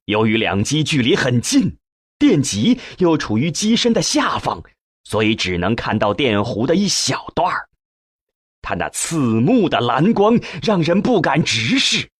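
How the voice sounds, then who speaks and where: Guy reading a sci-fi audiobook, slow n expressive, raspy-ish husky voice. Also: mid-range voice, no room sound, studio quality. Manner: measured, expressive storytelling. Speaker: audiobook narrator. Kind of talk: scripted narration of a science fiction novel.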